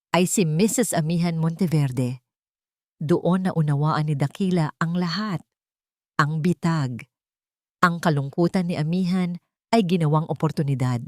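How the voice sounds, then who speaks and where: A woman's voice: low-pitched, no echo, clean studio sound. A woman narrating a scripted dramatic story in a solemn manner.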